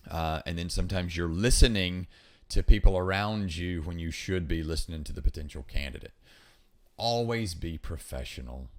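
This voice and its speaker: A man hosting a podcast, talking casually and instructively, emphatically repeating his key points. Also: deep voice. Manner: emphatic, repeats key points, acts out example phone calls. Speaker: podcast host giving hiring advice.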